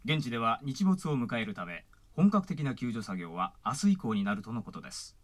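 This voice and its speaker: A man's voice: medium pitch, sing-song, fairly quick. An actor playing a formal male news announcer reading scripted bulletins.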